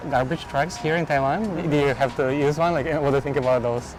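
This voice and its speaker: A man hosting a street interview, asking quick questions and nudging the guest along with short replies. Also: medium pitch, steady tone, noisy background. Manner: brisk, eager interviewer with short back-channel replies. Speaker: host. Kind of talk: street interview and sponsor read.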